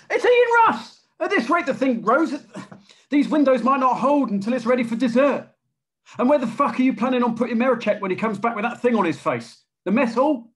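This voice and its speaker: Guy doing a mouthy hot-headed sci-fi security man, high voice, really animated, sarcastic. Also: fairly quick, exaggerated voice. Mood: agitated, sarcastic. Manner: sarcastic, agitated. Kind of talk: screenplay table read.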